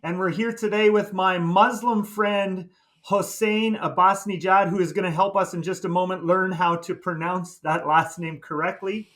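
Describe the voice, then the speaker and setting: Eager high-voiced male podcast host. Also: steady tone, moderate pace, fairly bright tone. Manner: chatty, eager, with frequent "uh" and restarts. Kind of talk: casual interview chat.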